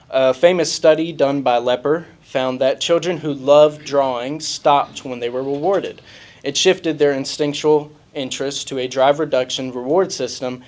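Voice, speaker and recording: A man giving a college presentation, mixing his prepared points with relaxed, casual asides. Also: mid-range voice. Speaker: student presenter. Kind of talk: class presentation. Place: college event room.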